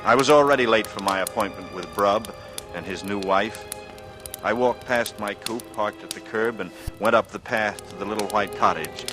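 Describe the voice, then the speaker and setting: An actor playing a secretive, coolly sardonic young ex-pilot in a 1940s radio drama, with an American accent and a measured, brooding delivery. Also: male voice, lowish voice, lightly acted. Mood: brooding, wistful. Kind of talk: 1940s radio drama acting, first-person noir narration and dialogue. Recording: vintage radio recording with prominent music.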